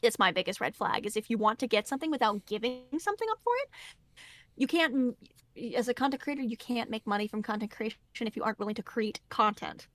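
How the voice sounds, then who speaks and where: A woman's voice: fairly high pitch, animated, fast, fairly bright tone. A woman guest talking casually and earnestly, giving advice on content creation and laughing easily. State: losing her voice. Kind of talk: casual podcast conversation.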